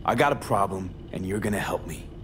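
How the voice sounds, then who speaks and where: An actor playing a wary, streetwise young mercenary in a video game, with a blunt, terse, skeptical delivery. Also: male voice, low-pitched, animated, brisk, background noise. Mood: impatient, demanding.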